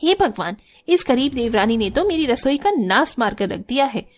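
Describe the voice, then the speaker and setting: A woman's voice: a little high, animated, moderate pace. A woman voicing a haughty, quarrelsome sister-in-law in a cartoon story, with a taunting, scornful manner. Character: haughty, spiteful elder sister-in-law, housewife. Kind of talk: exaggerated Hindi cartoon story acting.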